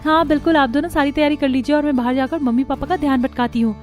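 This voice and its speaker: A woman voicing a young college girl in a cartoon, a sly, eager schemer with an animated manner. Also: sits high, quick pace, faint music behind, close to an everyday voice.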